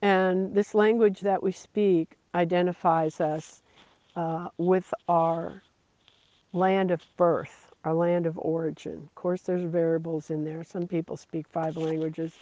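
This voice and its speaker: Slow, earnest preacher on a dull recording. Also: medium pitch, little pitch movement, a little mellow, no room sound. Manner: slow, earnest, repeating phrases for emphasis. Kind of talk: devotional sermon.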